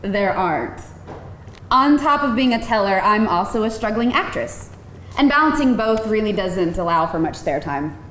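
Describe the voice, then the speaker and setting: A woman's voice: medium pitch, lively intonation, normal speed, a bit bright, natural voice. A woman playing a wry, modest bank teller and struggling actress at a live screenplay table read, speaking casually. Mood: self-deprecating. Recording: echoey live room, faint noise.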